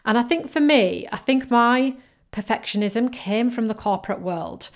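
A woman's voice: fairly high pitch, animated, normal speed. A woman with a Northern English accent mixed between Yorkshire and Geordie, hosting a solo podcast as a business coach, upbeat and encouraging, often repeating key phrases. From North East England coast.

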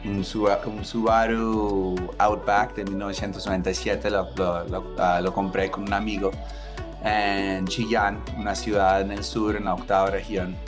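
A man from the United States speaking Spanish with an American accent, telling his life story in a halting way with many restarts. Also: on the low side. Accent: American English. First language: English. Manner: halting, restarts words, chuckles at himself. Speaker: entrepreneur interviewee. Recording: guitar music underneath.

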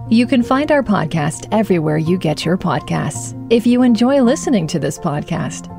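A woman reads a podcast's scripted opening announcement in an even, promotional tone. Her voice has a strong gravelly fry. Faint music plays under her. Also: mid-range voice. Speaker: show announcer. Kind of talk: scripted show intro.

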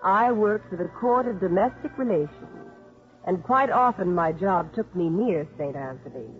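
An actress playing a kindly social worker, narrating a 1950s radio drama in a wistful, expressive way. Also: female voice, lowish voice, moderate pace, soft background music, close to an everyday voice. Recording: muffled 1950s radio broadcast.

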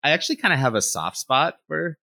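A man, an animator and director, talking in a relaxed interview and thinking out loud with lots of "like" fillers. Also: on the high side, a little fast, vocal fry, no echo, studio quality. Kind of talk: casual interview conversation.

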